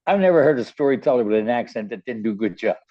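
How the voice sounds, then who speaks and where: A man's voice: mid-range voice, animated, very fast, muffled recording. An older man with a Baltimore accent, hosting a radio show and telling stories in a rambling, casual way, often stumbling and repeating words. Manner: rambling, many restarts and stammered repeats. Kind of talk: casual storytelling chat.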